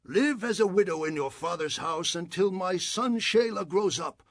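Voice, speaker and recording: A husky, high-voiced, dramatic patriarch. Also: male voice, normal speed, dry recording. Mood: commanding. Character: Judah, commanding male patriarch of an ancient family. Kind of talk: dramatized audio Bible acting.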